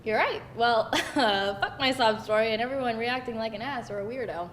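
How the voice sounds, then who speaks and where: A woman's voice: normal speed, close to an everyday voice. A woman playing a frank, sardonic twenty-eight-year-old career woman in a screenplay table read. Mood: rueful, frank. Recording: roomy echo, rumbly background noise.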